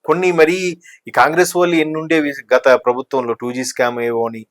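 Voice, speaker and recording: A man's voice: fairly high pitch, little pitch movement, brisk. A man giving conversational political commentary, talkative and explanatory, mixing in English words. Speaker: news discussion commentator.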